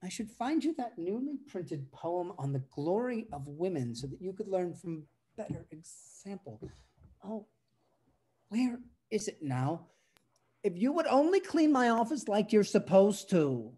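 A man's voice: high voice, very animated, measured pace, warm tone, breathy edge, exaggerated voice. A young man acting a pompous husband who scolds women, in a comedy read over video call. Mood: scolding, irritated. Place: room at home, indoors. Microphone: video call microphone.

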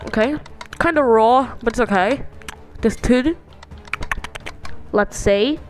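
A woman's voice: on the high side, slow. A woman playing a fussy, haughty villager chef in an amateur cartoon dub, speaking in an offhand way. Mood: fussy, judging. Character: picky, haughty villager chef judging food.

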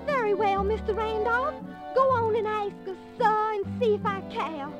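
High-voiced coy young Southern belle. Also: female voice, normal speed, highs cut off, over loud music. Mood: piqued. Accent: American Southern. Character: coy, fussy seventeen-year-old Southern belle. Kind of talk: 1930s radio acting. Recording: restored 1930s radio transcription disc.

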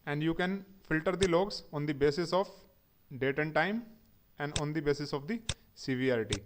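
A man with a somewhat high voice narrates a screen-recorded tech tutorial. He works methodically, step by step, spelling out every command. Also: animated, moderate pace, slight background noise. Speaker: instructor.

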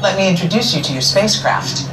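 An actress playing a calm female mission commander, briefing trainees in a steady, reassuring voice. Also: mid-range voice, fast talker, over loud music, natural voice. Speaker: ride briefing video presenter. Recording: ride audio filmed from far in an echoey room.

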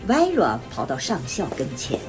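A woman's voice: lowish voice, wildly swooping pitch, moderate pace. A woman delivering scripted narration as the narrator of a radio drama. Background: music.

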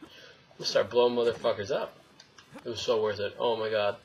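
A man's voice: fairly low pitch, level tone, a little fast, husky. Young man gaming on a dull lo-fi mic. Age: young adult. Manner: jokey rambling, talking to himself and the game. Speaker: let's play host. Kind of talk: casual gameplay commentary. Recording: dull lo-fi mic, faint noise.

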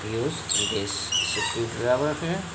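Slow, low-voiced woman over loud noise. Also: animated. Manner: halting, short simple phrases. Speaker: repair tutorial presenter. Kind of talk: step-by-step hands-on instruction. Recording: loud background noise, bird and animal sounds.

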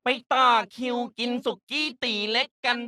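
Bratty cartoon character, high-pitched, bright, exaggerated delivery, playful. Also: female voice, moderate pace, dry recording, clean studio sound. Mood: whiny, casual. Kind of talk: character voice pack lines.

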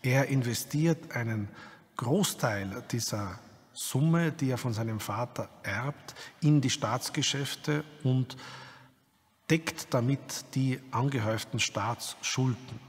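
A man's voice: medium pitch, slow, slightly rough. A man explaining as an expert in a documentary interview, academic and long-winded. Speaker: expert commentator. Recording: long reverb, faint ambient noise.

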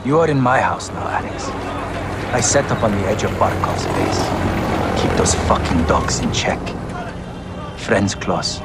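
An actor playing a fiery, sardonic rebel lieutenant with a Middle Eastern accent, wry and clipped, in a video game. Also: male voice, fairly low pitch, animated, a little slow, long pauses, loud music behind the voice. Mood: triumphant, sardonic. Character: fiery, sardonic male rebel lieutenant, fiercely loyal brother. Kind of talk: cinematic video game acting. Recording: loud game score behind.

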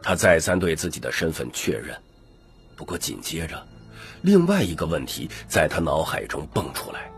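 Man, deep register, dramatic story narration, lively pitch movement, faint background music. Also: normal speed. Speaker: audiobook narrator.

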